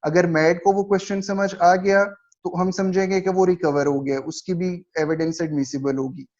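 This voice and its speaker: A man's voice: sits high, fairly even intonation, darkish tone. A man with a Pakistani accent lecturing on law in a didactic way, reading points out and explaining them. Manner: didactic, slows down markedly for read-out passages. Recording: dull lo-fi recording.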